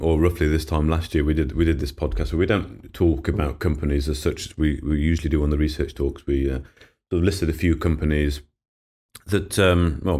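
A podcast host with a very low, deep voice, slightly thickened by a cold. He talks in a loose, chatty way, with easy laughs and plenty of fillers as he runs through the year. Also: darkish tone. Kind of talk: casual investment podcast chat.

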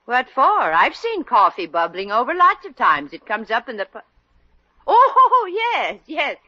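An actress playing a nosy, sardonic lady friend who deals out brisk wisecracks in 1940s radio comedy style. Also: a little high, huge pitch swings, dry recording, full character voice. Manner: dry wisecracks. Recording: muffled old radio recording.

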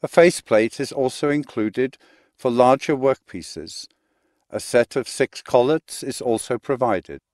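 A man reads out a product's features in a measured, matter-of-fact tone at a middle pitch. The recording is dry, with no room sound. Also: a little mellow, dry recording. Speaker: product narrator. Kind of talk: scripted product description.

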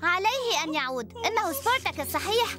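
Very high, lively young girl. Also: fast. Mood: concerned. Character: cheerful, caring young girl with pink hair. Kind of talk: children's TV show dub acting. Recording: music under the voice.

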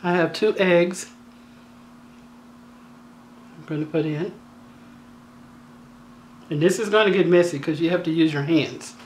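A woman with a slightly raspy voice hosting a home cooking tutorial, talking in a chatty, down-to-earth way with joking asides. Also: lowish voice, a little slow, pauses often, darkish tone, slight background noise. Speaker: cooking channel host. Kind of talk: casual cooking tutorial voice-over. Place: home kitchen.

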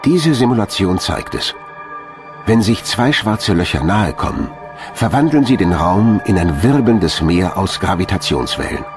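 A man's voice: low voice, animated, a little slow. A man reading scripted narration for a science documentary as a studio voice-over. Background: background music.

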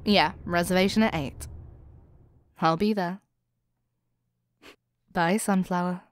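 A woman's voice: lowish voice, steady tone, slow. A woman acting in an audio roleplay as a tough, confident spy with a tender private side, wry and self-assured. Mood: tender, teasing.

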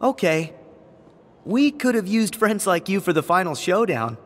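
A man playing an eager, kind teenage boy hero in an animated video game. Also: high-pitched, measured pace, long reverb tail. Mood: apologetic, earnest.

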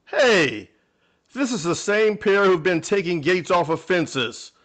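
A loud, accusing policeman in a vintage radio comedy, acted by a man. His high, sharp voice swoops around as he bears down on each word. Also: expressive, slow pace, exaggerated voice. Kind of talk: old-time radio comedy acting.

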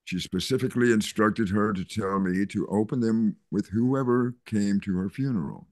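A man's voice: fairly low pitch, normal speed, dry recording, lightly acted. An older man reading the part of a kindly small-town pastor at a funeral over a video call. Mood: somber. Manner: gentle, patient, mildly scolding. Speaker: Reverend Matthew. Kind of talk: zoom radio-play reading.

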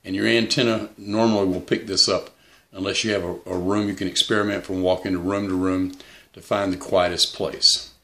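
A middle-aged man giving a casual, plainspoken how-to at home, pausing often with "uh". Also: low voice, flat delivery, a bit bright. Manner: plainspoken, with frequent restarts and "uh" pauses. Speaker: radio hobbyist demonstrating antennas. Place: indoors at home.

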